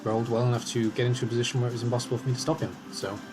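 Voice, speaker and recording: A man commentating his own match in a calm, analytical way as he talks through each move, slightly hoarse. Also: medium pitch, racing, over music. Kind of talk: casual game commentary.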